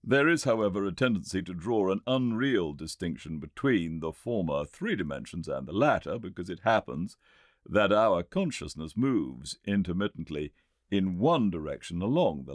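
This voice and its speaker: An earnest Victorian gentleman inventor , as a male narrator plays him, lectures in a British accent with very lively, swinging intonation. He is formal and emphatic, pressing each point with relish, and the sound is dry and close, as in an audiobook. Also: low-pitched, dry recording, pitched above the performer's own voice, exaggerated voice. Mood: insistent, lecturing. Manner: emphatic, didactic, formal, deliberately pointed phrasing. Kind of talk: audiobook character reading.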